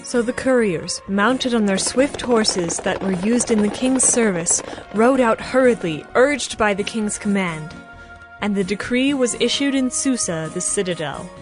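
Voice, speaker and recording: A woman giving a formal, measured, scripted narration of a dramatized scripture story. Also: medium pitch, poor audio. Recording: music behind the voice.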